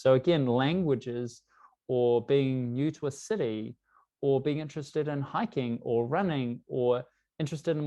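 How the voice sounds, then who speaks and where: A man with a New Zealand English accent gives a workshop talk on growing a tutoring business. His pitch sits in the middle for a man. He talks conversationally and leans on fillers as he explains. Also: warm tone. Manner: conversational, uses many fillers like "you know". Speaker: workshop presenter. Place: lives in London.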